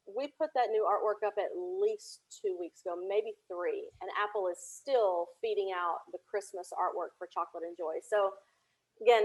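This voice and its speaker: A woman's voice: mid-range voice, low-quality recording. Chatty woman explaining things in conversation. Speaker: podcast guest, audio drama producer. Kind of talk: casual interview chat.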